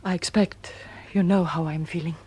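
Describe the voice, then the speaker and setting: An actress playing a troubled, self-reproaching wife in a melodramatic audio drama. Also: female voice, on the low side, steady tone, normal speed, background noise, close to an everyday voice. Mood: anguished.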